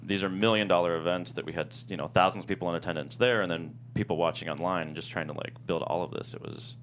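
A man with a very deep voice speaks as a guest in a casual interview. He explains in a halting, searching way, stacking up fillers. A faint noisy hum sits under the voice. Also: fairly even intonation, a bit bright. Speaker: screenwriter guest being interviewed.

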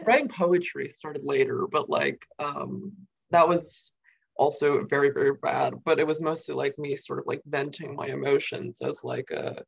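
A woman's voice: deep voice, fairly even intonation, moderate pace, creaky, slightly rough, highs cut off. A young woman from New York, talking casually as an interview guest and filling her speech with "like" and "um". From New York, USA. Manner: rambling, many fillers and "like". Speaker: guest poet.

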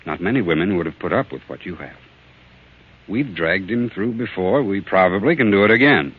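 An actor playing a stern, insistent family doctor in a 1940s radio drama, speaking firmly and deliberately. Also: male voice, deep voice, animated, slightly rough. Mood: grave, persuasive. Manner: firm, deliberate, commanding. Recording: tinny, narrow-band old radio recording.

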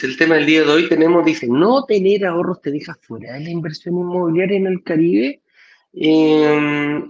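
A man co-hosting a live stream over a video call, explaining things in a measured way. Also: high voice, a little mellow. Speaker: co-host, sales director. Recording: echoey, dull video call audio.

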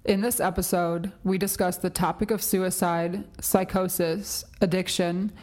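A woman co-hosting a podcast, reading the intro and content notes in a steady way. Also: lowish voice, unhurried. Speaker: podcast co-host.

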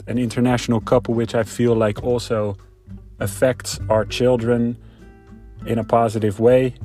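Man in his thirties, flat delivery. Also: lowish voice. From Netherlands. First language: Dutch. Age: 30s. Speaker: entrepreneur introducing himself. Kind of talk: personal self-introduction.

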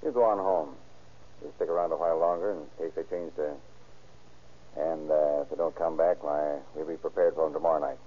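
Casting: unflappable radio-drama inspector, deep voice, plain-spoken, tinny vintage recording. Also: male voice, steady tone, a little fast. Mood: reassuring. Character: steady, unflappable male police inspector, reassuring. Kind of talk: 1930s radio drama acting.

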